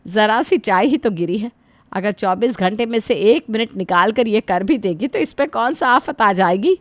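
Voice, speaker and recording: A woman's voice: mid-range voice, expressive, moderate pace, no echo, lightly acted. A woman voicing an aging, put-upon mother-in-law in a family drama, who scolds and sighs to God about her treatment. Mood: aggrieved. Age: older. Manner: wounded, scolding, sighing to God. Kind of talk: Hindi family drama voice acting.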